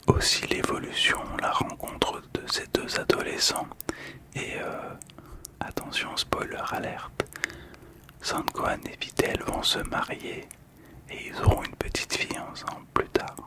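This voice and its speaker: Male ASMR host. Fully whispered, soft and calming delivery, intimate close microphone. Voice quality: breathy, unvoiced whisper. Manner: gentle, slow, soothing delivery with pauses. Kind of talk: whispered ASMR card description. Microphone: close ASMR microphone, monitored on headphones.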